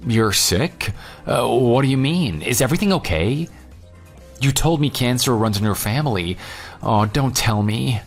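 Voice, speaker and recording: A jilted, forgiving groom voiced by a man in an audio drama. His mid-range voice moves up and down in a lively way as he asks earnest questions, over background music. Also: mid-range voice, measured pace. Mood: concerned, understanding. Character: jilted, forgiving ex-fiance, concerned but questioning. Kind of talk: radio drama dialogue.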